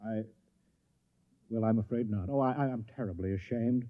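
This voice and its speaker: An actor playing a fussy, apologetic village mayor, flustered, polite and stammering, in old radio drama style. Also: male voice, fairly low pitch, moderate pace, no echo, close to an everyday voice. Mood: embarrassed, apologetic. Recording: dull, dry old radio recording.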